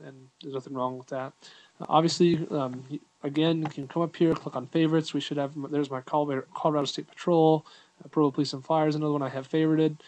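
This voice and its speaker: A man's voice: on the high side, darkish tone, poor audio. A man giving a casual, unscripted app walkthrough, explaining things in an informal, easygoing way as a reviewer.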